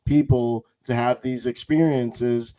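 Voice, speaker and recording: A man on a fan podcast with a hoarse voice, rambling in casual chat with many "you know" fillers. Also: mid-range voice, fairly even intonation, slow, warm tone. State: hoarse from yelling.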